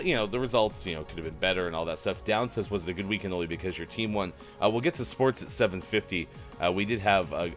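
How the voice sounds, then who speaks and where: A man hosting a live morning show in easygoing, self-mocking banter, his voice a bit rough today. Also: sits low. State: slightly rough, gruff voice. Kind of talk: casual live-stream morning show chat.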